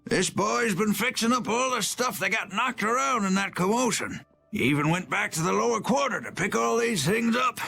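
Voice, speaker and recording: A man voicing a kindly, grateful elderly townsperson with a raspy voice in a video game. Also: high-pitched, expressive, normal speed, bright, forceful tone, soft background music, full character voice. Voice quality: raspy, creaky.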